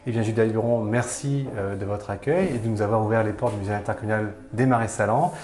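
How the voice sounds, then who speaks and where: A man's voice: on the low side, steady tone, fast, a little mellow. A man working as a local TV interviewer, putting questions to a guest. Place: indoor room in a museum. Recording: roomy, echoing indoor sound.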